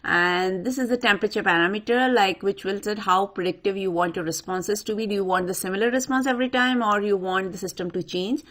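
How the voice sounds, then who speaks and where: A woman presenting an unscripted software tutorial, talking the viewer through each step in an instructional way. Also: mid-range voice, a little fast, few pauses. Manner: instructional, addresses viewer directly. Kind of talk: step-by-step screen walkthrough, unscripted.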